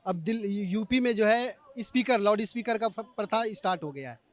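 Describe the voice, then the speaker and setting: A man's voice: sits high, normal speed. A man working as a street reporter, putting questions to members of the public in vox pop interviews.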